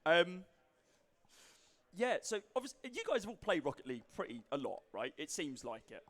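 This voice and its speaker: This young caster has a high, hoarse voice and yells with excitement at every big play. He talks on a handheld mic at a live event and keeps losing his voice. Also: moderate pace. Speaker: esports caster. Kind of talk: excited esports commentary.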